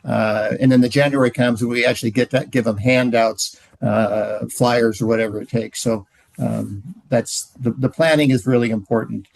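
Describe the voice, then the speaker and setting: A middle-aged man giving a relaxed training talk, telling stories with frequent "uh"s. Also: mid-range voice, moderate pace, vocal fry, dry recording. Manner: relaxed storytelling, many "uh"s. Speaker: ministry trainer.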